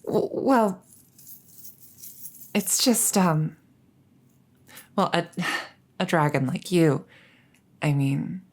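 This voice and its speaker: Woman acting as a low-voiced, slow-talking captive princess. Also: expressive, exaggerated voice. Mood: shy, bashful. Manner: stammering, hesitant, halting. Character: captured crown princess, haughty then flustered and coy. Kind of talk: roleplay audio drama acting.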